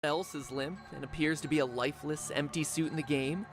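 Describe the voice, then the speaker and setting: Higher-voiced male narrator over music. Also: brisk. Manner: dramatic, addresses the listener with rhetorical questions. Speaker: video essay narrator. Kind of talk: scripted theory narration. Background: background music.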